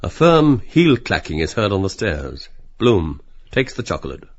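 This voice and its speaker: A man's voice: on the low side, very animated, a little slow, lo-fi sound. A man reading literary stage directions as scripted audiobook narration.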